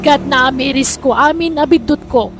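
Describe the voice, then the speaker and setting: A woman's voice: high-pitched, moderate pace. A woman with a Filipino accent giving scripted dramatic narration as a radio drama host and narrator. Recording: music bed under narration.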